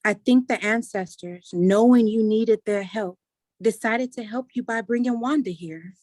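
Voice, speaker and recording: A woman's voice: on the high side, unhurried, a little mellow, no room sound. A woman playing an earnest, persuasive adult daughter in a staged play reading. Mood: earnest, explaining.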